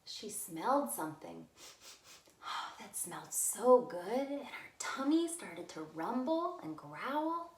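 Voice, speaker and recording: Female children's storyteller, very expressive melodic swings, unhurried, recorded in a roomy space. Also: on the high side. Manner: simple, deliberate phrasing addressed to small children. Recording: roomy, echoey room sound.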